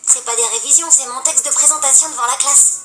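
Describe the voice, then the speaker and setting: Woman acting animated-series teen heroine, high voice, earnest, wordy, ambitious, self-assured, echoey sound, music behind. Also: brisk, natural voice. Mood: earnest, explaining. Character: chatty, ambitious, studious sixteen-year-old high school girl. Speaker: main character and narrator. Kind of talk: animated series dialogue and first-person narration.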